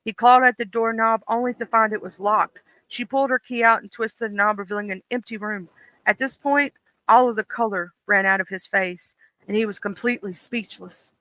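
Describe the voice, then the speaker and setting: A livestream host who reads a horror story aloud in a steady narrating voice, then chats casually with viewers, with many fillers and restarts. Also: medium pitch, no echo, poor audio.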